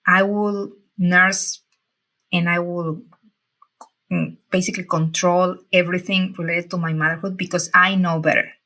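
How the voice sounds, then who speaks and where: A woman, talking as an interview guest in halting English with frequent fillers. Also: fairly low pitch, level tone, sharp tone. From Peru. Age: 40s. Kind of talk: casual interview talk.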